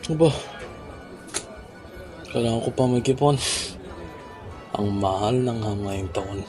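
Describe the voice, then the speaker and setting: A man's voice: mid-range voice, slow, music in the background, close to an everyday voice. A man playing a thrifty, grumbling village father in a radio drama.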